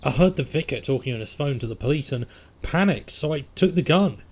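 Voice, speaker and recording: A man's voice: mid-range voice, expressive, fairly quick, a little mellow, breathy edge. A man playing a defiant, rattled teenage vandal in an audio drama. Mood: defensive.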